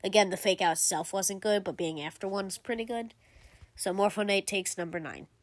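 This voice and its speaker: A woman's voice: medium pitch, moderate pace, heavy background noise. Rambling woman ranking game cutscenes. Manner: rambling, many fillers and self-corrections. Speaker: gaming video creator. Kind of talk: casual game commentary ranking.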